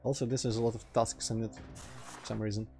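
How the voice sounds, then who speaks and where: A man's voice: medium pitch, flat delivery, fairly quick, vocal fry, dark tone, soft background music. Dry-humored Russian man narrating gameplay. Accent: mild Russian. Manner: dry, sarcastic asides. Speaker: gameplay commentator. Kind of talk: casual let's play commentary.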